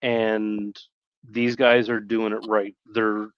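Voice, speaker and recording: A man chatting casually on a live stream in a halting, rambling way, often correcting himself. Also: on the low side, little pitch movement, smooth, no echo, lo-fi sound.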